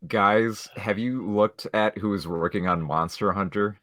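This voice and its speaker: A man's voice: fairly low pitch, a little slow, fairly bright tone, dry recording, clean studio sound. A man chatting casually as a panelist on a podcast. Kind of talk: casual chat.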